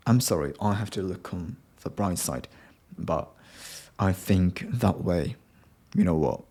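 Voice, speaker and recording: A young man with a Japanese accent talks through his thoughts without a script, pausing often and filling gaps with hesitant ums. His voice sits a little low, and he speaks at a slow, unhurried pace. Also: lively intonation, warm tone, husky. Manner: hesitant, many ums and restarts. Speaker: podcast host. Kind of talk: unscripted solo talk.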